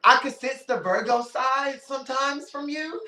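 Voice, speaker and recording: A playful man in his thirties with a high, bright voice hosts a casual interview. He teases his guest and bursts into exclamations. The room is echoey. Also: measured pace. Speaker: podcast host.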